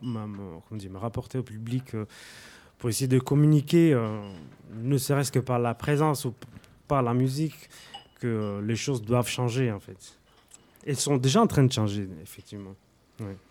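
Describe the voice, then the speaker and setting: A young man with an Italian accent answers interview questions as a musician guest. He talks haltingly, stopping often with fillers and restarting his phrases, in a voice of medium pitch. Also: darkish tone. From Italy.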